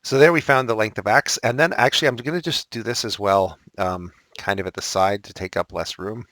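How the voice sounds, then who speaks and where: A man teaching a recorded math lesson, thinking aloud and correcting himself as he works problems, his voice slightly raspy. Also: lowish voice, fairly quick, fairly bright tone, no room sound.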